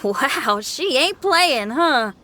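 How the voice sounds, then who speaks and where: A woman's voice: high voice, expressive, very slow, over loud music, heavily acted. A woman playing a spirited, folksy young female musician in a video game. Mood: intrigued. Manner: folksy, exuberant. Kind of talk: animated game character acting.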